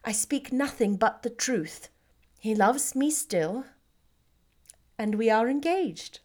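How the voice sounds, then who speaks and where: Woman reading as a witty young lady, playful and spirited, highish voice. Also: animated, takes it slowly, a little mellow. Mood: earnest. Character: lively witty young woman, teasing and warm.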